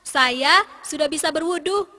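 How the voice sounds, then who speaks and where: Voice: sits high, measured pace, sharp tone. A performer voicing an eager, polite young schoolchild in an educational cartoon, with long reverb on the recording.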